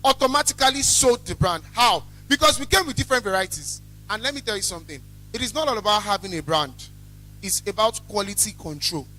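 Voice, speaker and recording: A young man with a Nigerian accent gives a motivational business talk in a very high, bright, loud voice. He is blunt and emphatic, often repeating a phrase to drive it home. Faint music plays behind him. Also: poor audio. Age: young adult. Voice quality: loud, carrying. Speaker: small chops business owner, guest speaker. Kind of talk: motivational talk to a student audience.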